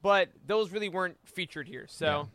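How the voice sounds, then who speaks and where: A man's voice: high-pitched, moderate pace, a bit bright. A man giving his opinions in a casual roundtable discussion as a podcast panelist.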